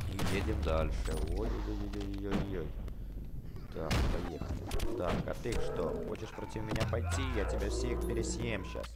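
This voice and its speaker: A man giving excited, chatty game commentary for children, exclaiming, repeating words and addressing his viewers. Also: fairly low pitch, heavy background noise. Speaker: gaming channel host.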